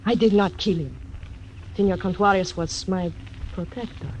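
A woman's voice: medium pitch, moderate pace, darkish tone, a little hiss or hum, close to an everyday voice, rough recording. An actress voicing a mysterious, teasing young Mexican woman with a Spanish accent, in 1940s radio acting style. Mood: teasing, fatalistic.

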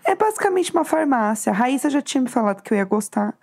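A Brazilian woman co-hosting a casual podcast, hoarse from losing her voice, chatty and telling stories with acted-out quotes. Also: high-pitched, very animated, quick pace, darkish tone. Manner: chatty, storytelling, acts out quotes. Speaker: podcast co-host. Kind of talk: casual chat.

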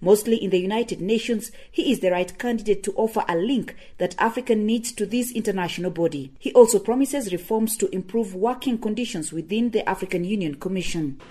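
A woman radio correspondent delivering a measured news report. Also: mid-range voice, mellow, breathy edge.

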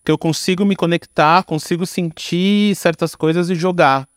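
A man's voice: on the high side, fairly even intonation, moderate pace, clear, resonant tone, dry recording, clean studio sound. A man with a Brazilian accent giving casual interview answers, speaking informally with slang and frequent tag questions. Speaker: guest, screenwriter and filmmaker.

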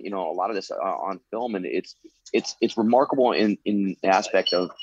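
A man's voice: lowish voice, breathy edge, highs cut off. A man in his late thirties, a former fighter turned coach, answering interview questions in an earnest way, leaning on "you know" as he talks. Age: 30s. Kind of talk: interview answers, reflective storytelling.

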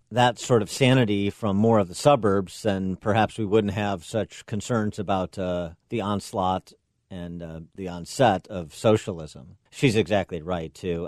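A man hosting a talk radio show, speaking in a measured, deliberate way with frequent pauses. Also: low-pitched, a little mellow, no echo, clean studio sound.

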